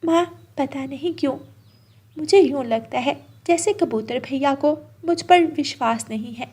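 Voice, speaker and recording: A woman voicing a gentle, sincere, long-suffering female bird in a cartoon story, speaking earnestly and softly. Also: high-pitched, measured pace, pitched above the performer's own voice. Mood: downcast, gentle.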